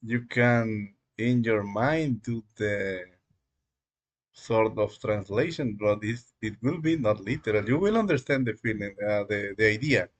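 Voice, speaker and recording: A man whose first language is Spanish, chatting casually on a live coding stream in a rambling, halting way, with many fillers and small chuckles. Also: lowish voice, expressive. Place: dark room at home.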